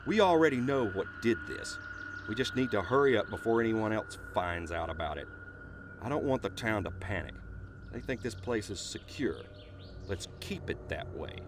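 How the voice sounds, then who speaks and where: A man playing a gruff, hot-tempered small-town sheriff in an audio drama, commanding, curt and coarse-mouthed. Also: lowish voice, animated, moderate pace, background noise. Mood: stern.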